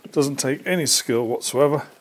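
A British man in his sixties presents a practical workshop tutorial. His voice sits at an average pitch, and he explains each step patiently. There is noticeable background noise with small clicks in the recording. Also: moderate pace. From Britain. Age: 60s. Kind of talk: hands-on assembly tutorial. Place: indoor workshop.

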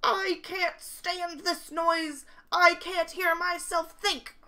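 A woman voicing Mister Winky, a cheerful clockmaker man who gets easily flustered, speaking loud and excited. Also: very high voice, slow pace, pitched above the performer's own voice, full character voice.

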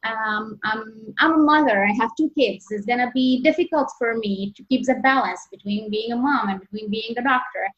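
A woman in her thirties from Egypt with an Egyptian accent, answering interview questions as a medical resident, earnest and halting with many restarts. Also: on the high side, fairly bright tone. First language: Arabic. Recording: dull lo-fi audio.

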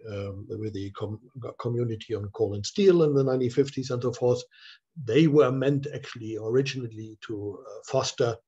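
An older man speaking as a visiting scholar on a video call, giving an informal academic talk in a halting way with many filler sounds, from a small office. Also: lowish voice, warm tone, husky. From Germany. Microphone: laptop webcam, video call. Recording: dull, muffled video-call audio.

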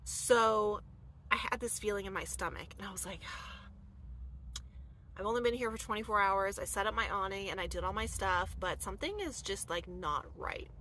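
A woman in her thirties from the United States telling a personal story in a casual, animated vlog, full of "like" fillers, filmed inside a dark camper van at night. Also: medium pitch, moderate pace, a little hiss or hum. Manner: chatty, animated, many "like" fillers. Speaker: vlogger.

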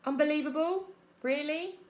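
A woman's voice: high-pitched, normal speed, echoey room, pitched above the performer's own voice, natural voice. A woman voicing a theatrical, boastful female opossum in a storybook, chatty and self-admiring. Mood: showy.

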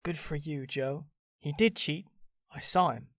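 A woman's voice: low-pitched, a little slow, no room sound. A woman voicing a cheerful, teasing young man in an audio drama, breezy and friendly, with a dry close sound.

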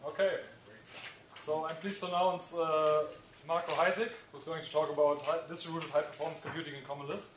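A man chairing a session, briskly introducing the next speaker. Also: on the high side, steady tone, slight background noise. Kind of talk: announcing a speaker. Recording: echoey hall, voice from far off.

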